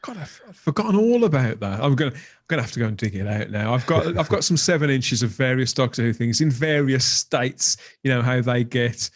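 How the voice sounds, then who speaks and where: A man's voice: medium pitch, animated, a little fast. A man chatting casually as a guest on a discussion podcast. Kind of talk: casual chat.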